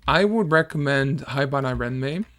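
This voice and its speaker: A man's voice: mid-range voice, animated, moderate pace, a bit bright. Laid-back Danish-accented young man. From Denmark. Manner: laid-back, laughs often, hesitant restarts. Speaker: music producer streamer. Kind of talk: casual chat while producing.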